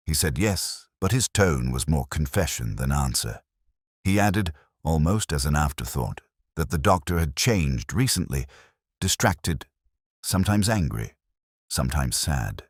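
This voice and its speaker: A man playing a brooding police inspector who narrates a murder case in a measured, reflective, literary way. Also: very deep voice, breathy edge, no echo, clean studio sound, exaggerated voice. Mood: somber, reflective. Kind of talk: first-person scripted detective story narration.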